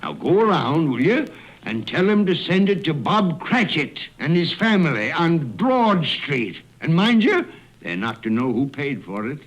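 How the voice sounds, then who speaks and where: An elderly reformed miser in a radio drama, his voice leaping wildly from high to low. He takes his words slowly and theatrically, exclaiming with giddy delight. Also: sing-song, lo-fi sound. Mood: delighted, kindly. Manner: exclamatory, chuckling, swooping delivery.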